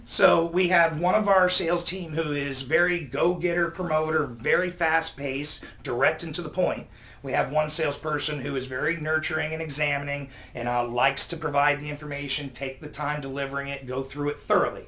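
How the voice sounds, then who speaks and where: Man, fairly high pitch, guest expert, explanatory discussion, emphatic delivery, instructive. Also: steady tone, moderate pace, bright, forceful tone. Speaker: guest expert giving business tips. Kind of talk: explanatory discussion of communication tips.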